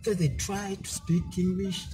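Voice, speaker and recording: A man's voice: sits high, level tone, normal speed. A man from Uganda with a Ugandan accent, chatting casually and encouragingly, repeating himself with many "eh" fillers. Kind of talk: casual chat. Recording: music playing loudly behind.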